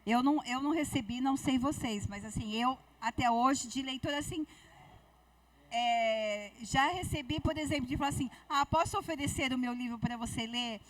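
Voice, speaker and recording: A woman with a high voice who talks as an author on a book fair panel. She thinks aloud and hesitates often. The sound is dry and comes from a distant mic. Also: normal speed, no echo. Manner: reflective, hesitant, with many fillers.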